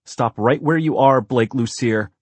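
A man's voice: mid-range voice, fairly quick, a little mellow, slightly rough, no echo, voice pushed up, close to an everyday voice. A man voicing a stern, determined but frightened six-year-old girl in an audiobook. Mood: commanding.

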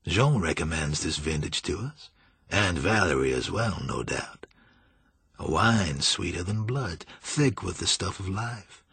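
A man voicing a suave, slyly menacing male vampire master in an audiobook, with a courtly, languid, softly mocking manner. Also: expressive, a little slow, dry recording, exaggerated voice. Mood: silky mockery. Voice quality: low, smooth, musical. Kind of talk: audiobook character acting.

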